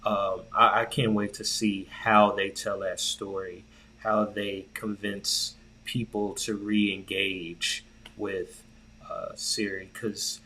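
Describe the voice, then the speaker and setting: A man co-hosting a podcast in a casual, halting way, thinking aloud and often repeating himself. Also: on the low side, flat delivery, pushed and bright, slight background noise, low-quality recording. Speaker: podcast co-host. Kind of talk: casual tech discussion.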